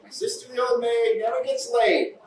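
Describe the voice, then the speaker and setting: A man's voice: very high-pitched, fairly even intonation, normal speed. A young man playing a cocky, childish freeloading brother in a staged play reading, flippant, needling and defensive. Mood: teasing. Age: young adult. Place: small café, indoors, live reading. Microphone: far camera microphone. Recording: echoey, distant room recording, faint noise.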